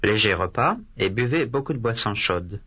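A man reading a calm doctor, husky and bright. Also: on the low side, heavily acted. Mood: measured. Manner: slow, clearly articulated, repeated phrases. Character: calm adult male doctor. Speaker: language course voice actor. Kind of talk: scripted language drill dialogue. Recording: dull lo-fi course tape.

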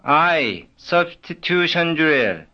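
A man's voice: a little high, sing-song, drawn-out words, pushed and bright. A man acting as drill instructor, calling out clipped single-word cues in a recorded language lesson. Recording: dull lo-fi old lesson tape.

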